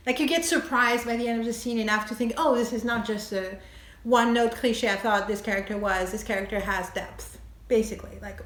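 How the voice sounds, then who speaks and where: A woman's voice: fairly high pitch, fairly bright tone. A woman from France, casually explaining screenwriting while thinking aloud with many fillers and light laughs. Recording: echoey room.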